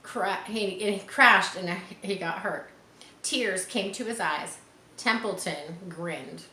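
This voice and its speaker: A woman reading a children's story aloud expressively, pausing to explain things as if to schoolchildren. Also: medium pitch, pushed and bright. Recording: roomy echo, faint background noise.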